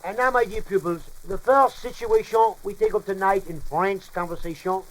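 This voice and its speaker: A man's voice: high voice, moderate pace, lightly acted, low-quality recording. A man playing a pompous, bumbling comic French professor in a radio monologue, lecturing in muddled, mock-formal language and mangled words.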